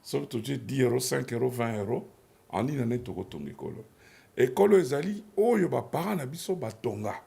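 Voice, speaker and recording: A man's voice: mid-range voice, animated, a little fast, creaky, mellow. A man hosting a TV talk show, chiming in often with short agreements and closing the segment. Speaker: TV host.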